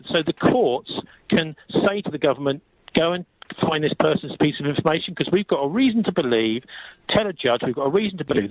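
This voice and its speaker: A British man with a slightly high voice, speaking as a guest commentator on talk radio. His delivery is conversational and discursive, and the sound is dry with little room around it. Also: no room sound, muffled recording.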